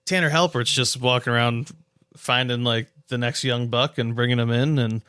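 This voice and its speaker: A man's voice: medium pitch, fairly even intonation, moderate pace, sharp tone, clear, resonant tone, dry recording, clean studio sound. A man co-hosting a podcast, talking sports in a casual, laid-back, slangy way. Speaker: podcast co-host.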